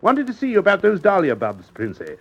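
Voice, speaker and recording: A man's voice: sits high, wildly swooping pitch, a little fast, fairly bright tone, muffled recording, full character voice. A man playing a hearty, blustering English captain and neighbour in a suspense radio drama, hesitant and exclamatory. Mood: jovial. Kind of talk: old-time suspense radio drama acting.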